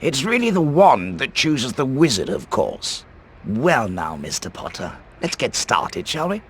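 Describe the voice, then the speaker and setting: An actor playing an eccentric, courteous old wandmaker in a video game, speaking in a deliberate, theatrical, musing way. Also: male voice, medium pitch, big pitch swings, slight background noise. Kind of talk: scripted video game character acting.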